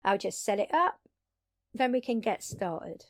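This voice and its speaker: A woman playing a brash, excitable undead vampire in an audio drama, talking casually and expressively straight to the listener with salty language and dramatic pauses. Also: mid-range voice. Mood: casual, upbeat. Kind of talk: intimate audio drama roleplay addressed to listener.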